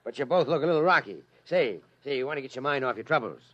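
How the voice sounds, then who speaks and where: A man's voice: medium pitch, expressive, fast talker, a bit bright, dull audio. An American man playing a brash, jovial movie man in an old-time radio drama, breezy and slangy, repeating words for emphasis. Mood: hearty, friendly. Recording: old radio recording, crowd behind.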